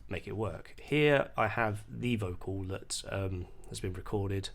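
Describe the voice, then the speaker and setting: Low-voiced man giving a hesitant tutorial. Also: vocal fry. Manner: hesitant, explanatory, pausing mid-sentence. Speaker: music producer giving a tutorial. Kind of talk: unscripted screen-recorded software tutorial. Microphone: voice-over on a screen recording.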